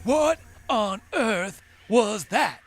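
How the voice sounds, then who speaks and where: A man's voice: high-pitched, lively intonation, very slow, over music, full character voice. A man playing a hesitant, skeptical sidekick to an adventure hero in a radio play. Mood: puzzled. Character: doubtful, plain-spoken sidekick to an adventure hero.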